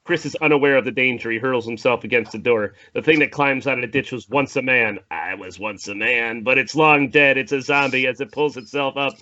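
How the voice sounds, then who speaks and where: Bright-voiced man reading dramatic narration. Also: mid-range voice, fairly quick, few pauses, lo-fi sound. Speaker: narrator at a screenplay table read. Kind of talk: dramatic scripted narration, informal with asides.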